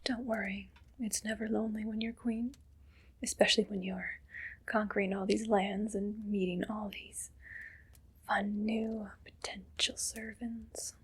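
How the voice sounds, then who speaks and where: A woman playing a haughty, condescending evil queen in a whispered ASMR roleplay, breathy and deliberate. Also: mid-range voice, flat delivery. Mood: smug, condescending. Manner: slow, deliberate, imperious and condescending.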